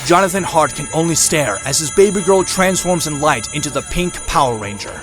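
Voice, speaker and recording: A man narrates a scripted action drama in a moderately high voice. Dramatic music plays clearly behind the narration. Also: expressive, a little fast, fairly bright tone.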